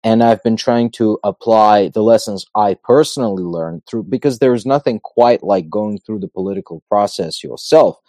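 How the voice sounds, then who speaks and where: A man from North Macedonia, a non-native English speaker with an American-sounding accent, explaining things in a measured way as a guest on a talk show. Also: lowish voice, warm tone, no room sound. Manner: measured, explanatory, thinks aloud. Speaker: guest, politician. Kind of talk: casual interview talk.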